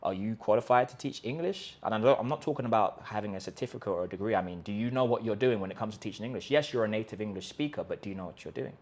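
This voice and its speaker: A man's voice: very fast, few pauses. Emphatic man firing rhetorical questions. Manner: emphatic rhetorical questions, restarts, lively sales pitch. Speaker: host of a language-learning channel. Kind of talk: direct-to-camera pitch talk. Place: in Colombia.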